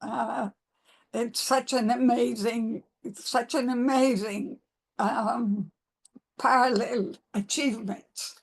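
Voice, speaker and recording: Woman moderator, unhurried and slightly high, halting with fillers. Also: steady tone, creaky, breathy edge. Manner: slow, halting, many fillers. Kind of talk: moderating a webinar panel. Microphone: video call.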